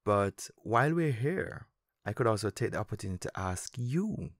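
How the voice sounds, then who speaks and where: A man hosting his own podcast, talking in a relaxed conversational way with lively emphatic rises. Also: moderate pace, dry recording, clean studio sound. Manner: animated, rising to high emphatic tones. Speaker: podcast host.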